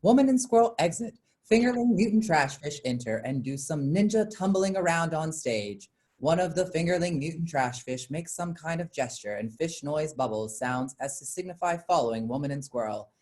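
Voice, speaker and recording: Lively narrator reading stage directions. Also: mid-range voice.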